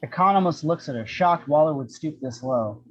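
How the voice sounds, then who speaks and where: A man narrates stage directions for an amateur script read. His voice sits a little high and has a husky grain. The sound is somewhat dull and lo-fi. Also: expressive, normal speed.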